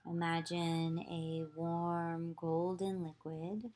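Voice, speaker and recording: A woman yoga teacher leading a guided visualization meditation, giving slow, soothing instructions with long pauses. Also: on the low side, level tone, darkish tone.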